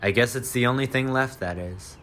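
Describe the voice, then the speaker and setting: A man playing a smitten young man with a secret dark side in a radio drama. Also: mid-range voice, brisk, close to an everyday voice. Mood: shy, polite. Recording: noisy track with clear background ambience.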